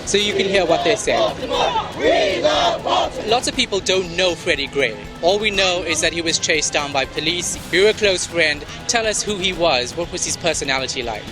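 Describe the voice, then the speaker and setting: High-voiced male reporter amid a loud crowd. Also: lively intonation, moderate pace. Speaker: field news reporter. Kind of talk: live street reporting and interviewing. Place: outdoors in a city street. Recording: loud street crowd and music.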